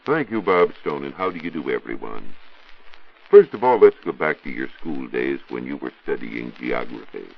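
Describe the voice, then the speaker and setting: American male science reporter, 1940s radio. Very low, rough-edged voice, didactic delivery with expressive swings. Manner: didactic, rhetorical, measured phrasing. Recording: dull 1940s radio recording.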